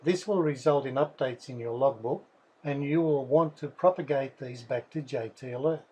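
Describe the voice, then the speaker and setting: A man narrates a scripted software tutorial. His voice is somewhat husky and sits at a medium pitch. He moves through each instruction methodically. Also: normal speed, low-quality recording. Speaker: software developer presenting a tutorial.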